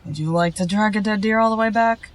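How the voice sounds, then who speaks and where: A woman's voice: mid-range voice, fast talker, close to an everyday voice. A woman playing a skeptical, sarcastic and wary female wolf healer in a scripted fantasy drama. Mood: sardonic. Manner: sardonic, wary.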